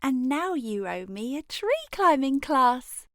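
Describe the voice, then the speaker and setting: A woman's voice: high voice, very animated, slow pace, a bit bright, dry recording, clean studio sound, pitched above the performer's own voice, heavily acted. A woman voicing a fearless, tough little girl in a storybook, cheeky and bold.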